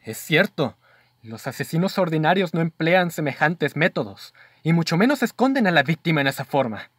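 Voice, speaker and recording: Higher male voice, man acting as earnest investigator, Mexican accent, emphatic formal phrasing, radio drama, very dry acoustic. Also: big pitch swings, moderate pace, a bit bright, husky, dry recording, heavily acted. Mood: earnest reasoning. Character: earnest male investigator's companion, astonished, reasoning aloud.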